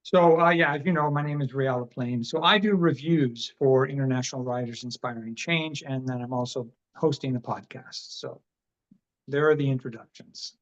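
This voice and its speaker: A man from Canada hosting a podcast interview, relaxed and halting with many fillers. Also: mid-range voice. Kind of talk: casual interview. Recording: dull, muffled sound.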